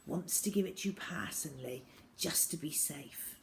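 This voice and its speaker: A woman's voice: low-pitched, normal speed, vocal fry, slight background noise. A woman voicing an anxious, muddled grown man, a hesitant father in a children's storybook. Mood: hushed. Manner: hesitant, flustered.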